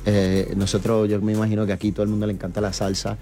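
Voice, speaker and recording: A man's voice: fairly low pitch, little pitch movement, quick pace, warm tone, faint music behind. A middle-aged man from New York, a reggaeton artist talking casually as an interview guest, easygoing and full of fillers. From New York, United States. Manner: easygoing, storytelling, many fillers.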